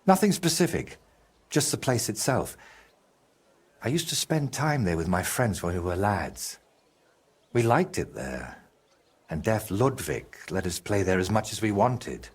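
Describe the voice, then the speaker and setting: An actor playing a troubled, guilt-ridden medieval painter in a video game, with a husky, halting and brooding delivery. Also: male voice, lowish voice, sing-song, heavily acted. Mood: regretful. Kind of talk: video game dramatic dialogue.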